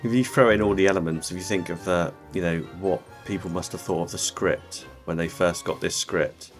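A man with a very low voice, speaking earnestly as a podcast co-host. He talks casually and enthusiastically, with faint music in the background. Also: steady tone, a little fast. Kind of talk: casual chat.

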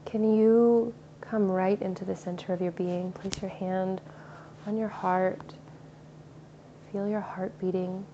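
Soft-spoken woman guiding a meditation. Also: mid-range voice, flat delivery. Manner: slow, soothing, soft-spoken. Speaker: meditation guide. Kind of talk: guided meditation. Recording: slightly dull recording with faint ambient noise.

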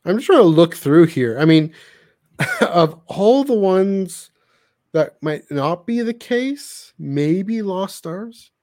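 Guy on a podcast just chatting, kinda hedgy and thinking out loud, really dry sound no room. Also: high-pitched, big pitch swings, slow, dry recording. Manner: hedging, thinking aloud. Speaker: podcast co-host. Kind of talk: casual podcast chat about books.

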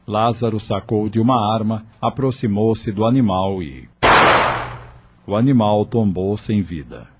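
A man narrating a radio drama in a measured, steady way. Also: on the low side, heavy background noise. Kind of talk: scripted narration.

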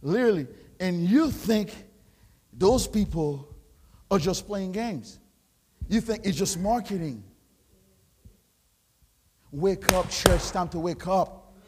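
A Haitian man with a Haitian French accent, a pastor preaching a sermon, rising into loud shouted exhortations. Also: high-pitched, lively intonation, slow pace, pauses often, slightly rough. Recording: long hall reverb.

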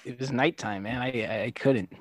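A man's voice: normal speed, dry recording. A young man co-hosting a casual podcast, telling stories in a laid-back way with lots of filler words. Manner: laid-back, many "like" fillers, low-key delivery. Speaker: podcast co-host. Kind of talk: casual storytelling chat.

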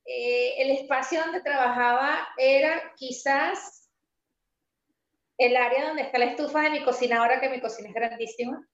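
A woman being interviewed in a casual conversation, animated and emphatic, slowing down to stress her points and laughing easily. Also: high-pitched. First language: Spanish. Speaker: interview guest, pastry entrepreneur. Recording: roomy, slightly dull sound.

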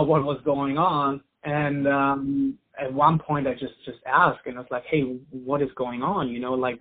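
A man from Germany with a German accent, a company founder chatting casually as an interview guest, peppering his talk with "like" and "you know". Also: medium pitch. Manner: relaxed, heavy use of "like" and "you know". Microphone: phone. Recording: tinny phone line.

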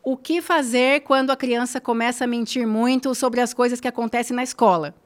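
Emphatic woman, slightly high voice. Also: brisk. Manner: emphatic, repeats phrases, frequent tag questions. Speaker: guest influencer. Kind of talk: casual podcast conversation.

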